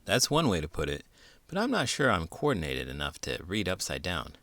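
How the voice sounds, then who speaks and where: A man's voice: low voice, wildly swooping pitch, brisk, a bit bright, no room sound, studio quality. A man hosting a children's storybook read-aloud, theatrical and full of big emotion, stretching words for effect. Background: music.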